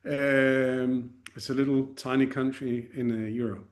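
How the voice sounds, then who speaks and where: A man's voice: mid-range voice, little pitch movement, unhurried. A man in his forties with a Danish accent, a podcast guest talking frankly and self-deprecatingly in a casual interview, with plenty of fillers and restarts. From Denmark. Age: 40s.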